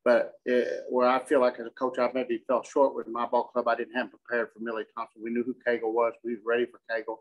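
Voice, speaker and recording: A middle-aged man, a coach answering questions at a postgame press conference in a measured, halting way, often repeating words. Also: medium pitch, completely flat delivery, muffled recording. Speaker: softball coach. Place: indoor press conference room with curtain backdrop.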